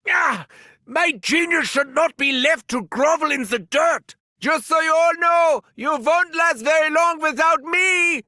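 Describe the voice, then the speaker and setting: Casting: vain, sadistic German mad scientist, video game. Theatrical and pompous, German accent, unhurried and crackly, recorded close and dry. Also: male voice, very high-pitched, bright, forceful tone, no echo, full character voice. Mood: self-important. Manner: theatrical, pompous, swinging from muttering to shrieking. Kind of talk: scripted video game voice lines.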